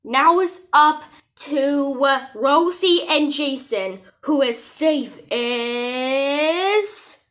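A woman delivering amateur cartoon dialogue in a simple, drawn-out manner. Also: sits high, animated, fairly bright tone.

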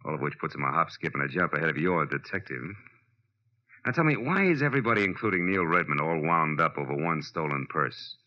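A man's voice: a bass voice, sing-song, brisk, bright, forceful tone, exaggerated voice. An American man playing a cynical, wisecracking private detective in 1940s radio style, wry and clipped. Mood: wry, probing. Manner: wry, clipped, hard-boiled. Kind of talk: 1940s radio acting and first-person narration. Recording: muffled old-time radio recording.

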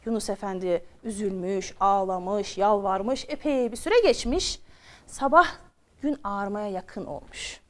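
A woman's voice: mid-range voice, unhurried. A woman telling a children's story expressively, repeating phrases for drama and addressing her young listeners directly.

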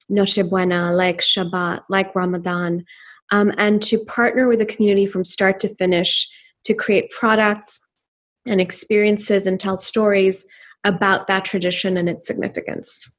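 Woman founder from Pakistan, thirties, earnest guest, measured and reflective. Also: mid-range voice. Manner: earnest, thoughtful, with frequent ums. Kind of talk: interview conversation.

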